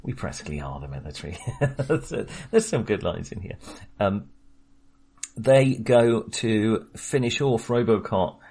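A man's voice: on the low side, lively intonation, measured pace, warm tone, husky. A man co-hosting a casual film podcast, talking with many fillers and small laughs. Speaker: podcast co-host.

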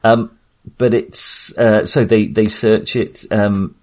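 A man with a fairly low voice talks through a film as a podcast host. His delivery is casual and hesitant, and he often doubles back to reword a thought. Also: flat delivery, slow pace, darkish tone, poor audio. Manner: hesitant, circling back to rephrase.

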